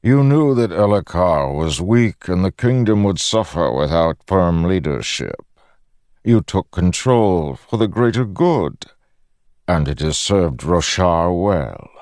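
Guy doing an evil old god for an audiobook, patronizing and fatherly, slow, super swoopy delivery. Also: low voice, lively intonation, a little mellow, no room sound, clean studio sound, pitched above the performer's own voice. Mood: persuasive, condescending. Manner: patronizing, unhurried, theatrical. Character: ancient malevolent god, smiling, paternal and smooth-talking. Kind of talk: audiobook fantasy dialogue.

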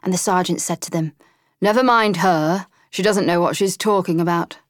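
A woman voicing an excitable, cheeky female mole in a storybook reading, telling tales with glee. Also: fairly low pitch, normal speed, no room sound, studio quality, close to an everyday voice. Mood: gleeful. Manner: chatty, gleeful storytelling. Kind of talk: audiobook character reading.

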